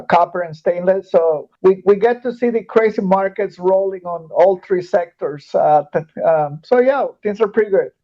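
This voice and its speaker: A man in his forties from Guatemala, talking through his path in a relaxed interview. His voice sits a bit high with a warm, mellow tone. The sound is dull and lo-fi. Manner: thoughtful, frequent fillers and restarts. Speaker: podcast guest, metal recycling executive. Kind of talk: casual interview conversation.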